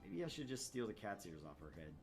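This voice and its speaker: A low-pitched male gaming host reads visual novel narration aloud with some drama, then drops into casual, joking commentary. Faint music plays behind him. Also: very animated, quick pace, darkish tone.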